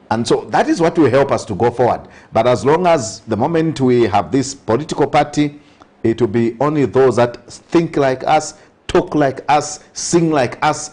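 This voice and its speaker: A husky male voice with a Zambian accent, talking as a priest invited onto an interview programme. He speaks earnestly and conversationally, repeating phrases for emphasis as he offers social commentary with a preacherly touch. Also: medium pitch, darkish tone.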